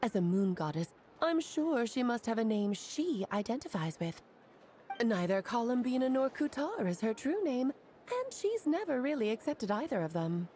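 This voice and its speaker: A woman's voice: fairly high pitch, big pitch swings, normal speed, dark tone, a little hiss or hum. A woman voicing a self-assured, playful mage in a video game, chatty and teasing as she lectures. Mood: lecturing. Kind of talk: animated video game dialogue.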